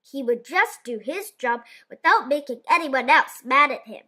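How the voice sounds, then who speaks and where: A woman's voice: high-pitched, animated, moderate pace, sharp tone. A woman storyteller narrating a children's picture book expressively.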